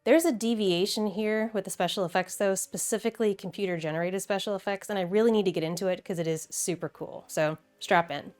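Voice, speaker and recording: A woman's voice: mid-range voice, a little fast, few pauses, darkish tone, faint music behind. A woman hosting a video essay in a casual, conversational and enthusiastic way, with wry asides.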